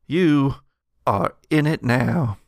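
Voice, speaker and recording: A man's voice: medium pitch, extremely slow, vocal fry, dry recording, studio quality. A man voicing a flirtatious, gushing woman at a bar in a tabletop game, full of coy endearments and trailing hesitations. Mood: flirty, sympathetic.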